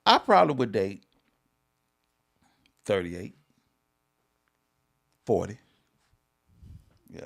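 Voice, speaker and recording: Gravelly, animated man in his fifties. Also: fairly low pitch, very slow, pauses often. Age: 50s. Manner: emphatic, repeats himself, laughs often. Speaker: pastor and podcast co-host. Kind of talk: casual chat.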